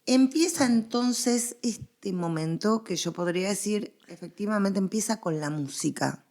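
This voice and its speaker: A woman's voice: mid-range voice, unhurried, darkish tone. An Argentine woman speaking as a guest expert in a conversational interview, explaining history with emphatic, repeated phrasing.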